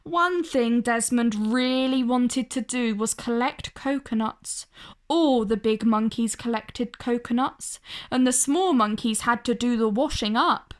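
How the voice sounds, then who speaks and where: A woman narrates a children's storybook in a fairly high voice. Her delivery is expressive and very unhurried, in the manner of a read-aloud host.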